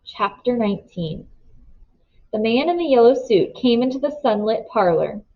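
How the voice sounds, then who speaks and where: A woman's voice: on the high side, animated, moderate pace. Woman narrating a storybook, slightly muffled. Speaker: read-aloud narrator. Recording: slightly dull, lo-fi sound.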